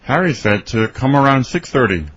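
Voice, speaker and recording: Slightly husky man reading lines. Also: mid-range voice, animated, moderate pace, fairly bright tone. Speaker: dialogue reader for English learners. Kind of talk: scripted conversation reading. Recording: dry, slightly dull studio recording.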